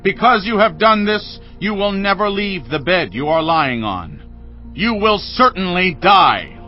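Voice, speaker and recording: An actor playing a stern prophet who delivers solemn, declaiming pronouncements. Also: male voice, high-pitched, big pitch swings, unhurried. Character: stern aged prophet, commanding man. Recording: muffled audio under music.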